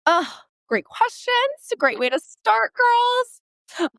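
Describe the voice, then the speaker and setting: A woman's voice: extremely high pitch, very animated, takes it slowly, vocal fry, fairly bright tone, no echo, clean studio sound. A woman in her early thirties with an American accent, talking casually as a therapist guest in a rambling, self-correcting way with emphatic repetition. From San Diego, California, United States. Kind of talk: casual conversational interview.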